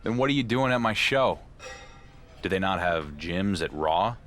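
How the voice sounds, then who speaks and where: A man's voice: low voice, animated, moderate pace, fairly bright tone. A man playing a sardonic, guarded wrestling champion in a video game cutscene, with a dry, sarcastic manner. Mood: irritated, challenging.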